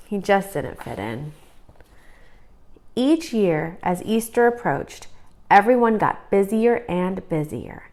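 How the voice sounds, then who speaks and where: A woman reads a children's picture book aloud as a storyteller. Her voice sits at a middle pitch, and she delivers the scripted tale expressively. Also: a little mellow. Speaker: storybook reader.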